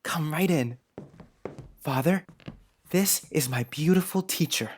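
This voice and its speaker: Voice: mid-range voice, slow, a little mellow, a little hiss or hum, lightly acted. Earnest, politely old-fashioned little boy acted out. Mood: excited. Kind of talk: dramatized audiobook acting. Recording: music under the voice.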